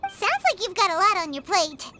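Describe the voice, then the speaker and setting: A woman voicing a small, chatty, excitable fairy companion for a video game, with a squeaky childlike voice and cute delivery. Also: normal speed. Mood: curious. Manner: cute, exclamatory. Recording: music behind the voice.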